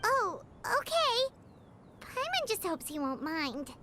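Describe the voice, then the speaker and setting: A woman voicing a tiny, chirpy, excitable childlike companion creature in a video game, squeaky and naming herself in the third person. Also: takes it slowly, background noise, exaggerated voice. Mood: hesitant, concerned.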